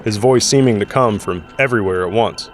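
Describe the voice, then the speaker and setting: A man's voice: lowish voice, fairly quick, a little hiss or hum. A man narrating an audio drama in a dramatic, scripted storytelling style. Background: dramatic music.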